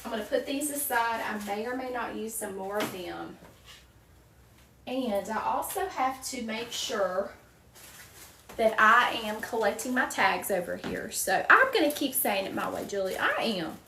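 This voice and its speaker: A woman's voice: a little high, animated, a little slow, creaky, bright, forceful tone, reverberant, distant mic, slight background noise. A woman in her forties from a small town in Virginia, with a Southern accent, chatting casually with livestream viewers while crafting and greeting them by name. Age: 40s. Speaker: wreath-making livestream host.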